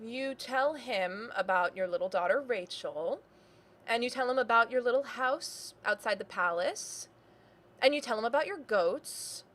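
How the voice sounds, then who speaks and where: A woman's voice: a little high, lively intonation, unhurried, a bit bright. A woman acting in a play reading as a proud, bitter young niece with an intense, declamatory manner. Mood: mocking. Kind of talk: theatrical stage play reading.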